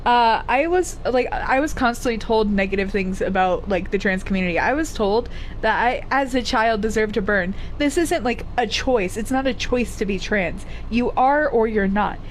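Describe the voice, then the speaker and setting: A woman panelist on a live chat, speaking earnestly and haltingly. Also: fairly high pitch, a little hiss or hum, rough recording.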